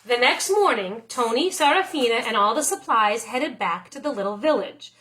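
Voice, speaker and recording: A woman teacher reading a picture book aloud in expressive narration, with deliberate, drawn-out phrases. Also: fairly high pitch, a little hiss or hum, low-quality recording.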